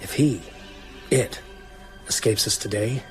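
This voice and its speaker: A man's voice: fairly low pitch, slow, soft background music. An actor playing a grave, determined man who leads and narrates a radio drama, speaking. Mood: grave, resolute. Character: grave, determined man leading a vampire hunt.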